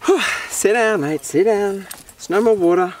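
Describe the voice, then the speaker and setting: A man's voice: high voice, normal speed, faint music behind. A man in his forties with an Australian accent, chatting casually to the camera in his car and on a hike with his dogs. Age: 40s. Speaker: vlogger. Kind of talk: casual vlog talk to camera. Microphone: camera held at arm's length or mounted on the dash. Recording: outdoor camera audio with clear background noise.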